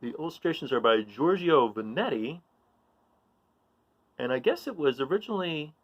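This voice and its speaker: A man reading a children's picture book aloud in an informal, expressive way, putting questions to young listeners and encouraging them. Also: on the high side, moderate pace. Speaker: read-aloud storyteller.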